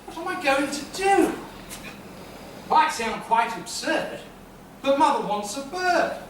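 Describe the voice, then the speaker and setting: A man's voice: extremely high pitch, lively intonation, slow pace, slight background noise, full character voice. A man playing a scheming, cowardly young hustler under his mother's thumb, talking theatrically. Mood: fretful. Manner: theatrical, fretful, sings comic patter. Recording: echoey room from far off, music.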